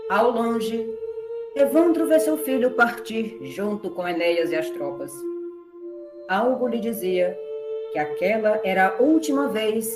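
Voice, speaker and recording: A woman narrates an epic in dramatic, scripted storytelling style. Her mid-pitched voice has a roomy echo, with clear music behind it. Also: sing-song, unhurried.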